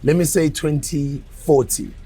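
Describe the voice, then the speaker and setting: A South African man in his forties hosting a casual podcast interview, animated and playful, often breaking into laughter. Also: fairly high pitch, unhurried, breathy edge. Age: 40s. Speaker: podcast host.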